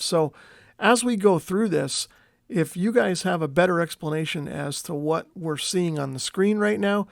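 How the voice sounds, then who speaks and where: Male hobby video presenter, slightly high voice with heavy vocal fry, explanatory and unassuming, talking through a demonstration one step at a time. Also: no echo, studio quality. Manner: step-by-step, tentative non-expert explaining. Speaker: radio hobbyist video host. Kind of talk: explanatory screen walkthrough.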